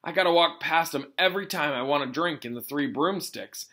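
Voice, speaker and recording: A man's voice: a little high, brisk, sharp tone. A man voicing a giant, tearful, despairing creature lover from a storybook, with choked, rustic speech. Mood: gloomy. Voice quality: choked, sobbing delivery. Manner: rustic, dropped-word folksy speech.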